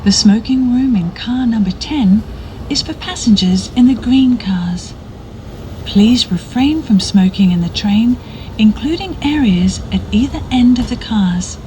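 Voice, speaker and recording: Woman, formal scripted announcements, slow and deliberate, faint moving-train noise behind her. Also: a little high, darkish tone. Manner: measured, formal, courteous. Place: inside a moving bullet train. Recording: noisy train cabin, announcement over speakers. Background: train running noise.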